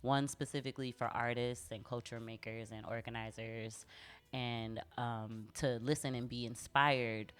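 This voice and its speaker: Very low-voiced woman, effusive co-host. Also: fairly even intonation, unhurried, smooth. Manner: effusive, enthusiastic, with frequent shout-outs. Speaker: co-host of a community arts show. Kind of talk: casual co-hosted chat, recapping an event.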